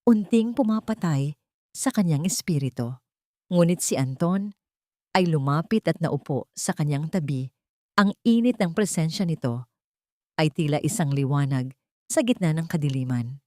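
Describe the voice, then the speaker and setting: A woman's voice: deep voice, a little mellow, no room sound, clean studio sound. A woman storyteller reading a scripted dramatic story as narration.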